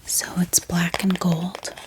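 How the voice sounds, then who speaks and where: Female ASMR host, hushed whisper, slightly low register, gentle and soothing show-and-tell. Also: level tone, low-quality recording. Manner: gentle, slow, repeats words softly. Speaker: ASMR book haul host.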